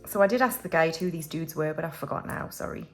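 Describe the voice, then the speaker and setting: A woman's voice: deep voice, expressive, fast talker, faint music behind. Chatty British woman in her forties. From United Kingdom. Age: 40s. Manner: chatty and rambling, with frequent restarts and fillers. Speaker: budgeting vlogger. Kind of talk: casual chat while stuffing cash envelopes.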